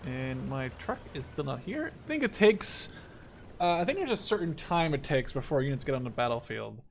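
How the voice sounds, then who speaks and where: A man's voice: mid-range voice, big pitch swings, moderate pace, vocal fry, slight background noise. A man in his twenties giving casual gameplay commentary, thinking aloud informally as he plays. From Netherlands. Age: 20s. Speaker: gaming video host.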